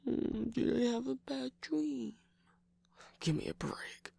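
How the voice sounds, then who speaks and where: A man playing a guarded, sarcastic trickster villain in an ASMR romance roleplay, speaking haltingly in a breathy, husky whisper. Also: very high-pitched, a little mellow, full character voice. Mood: bitter, guarded. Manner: halting, defensive, teasing, with sighs and bitter laughs.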